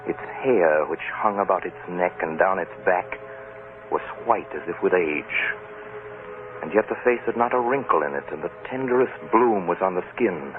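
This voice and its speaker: A low-voiced man tells a story as a radio narrator of the 1930s, deliberate and dramatic. The broadcast is thin and tinny, with music clearly behind him.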